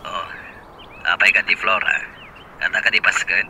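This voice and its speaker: A man's voice: fairly low pitch, monotone, moderate pace, sharp tone, a little hiss or hum. An actor playing an obliging male friend in an Ilocano radio drama, questioning and agreeable.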